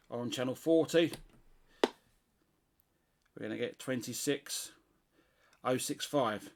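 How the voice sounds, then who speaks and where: A man's voice: medium pitch, gravelly. A middle-aged British man with a mid-range voice gives an informal, hands-on demonstration. He speaks unscripted, working things out aloud as he tests. Noticeable noise and small clicks sit behind his voice. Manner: unscripted, thinking aloud while testing. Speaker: CB radio hobbyist presenter.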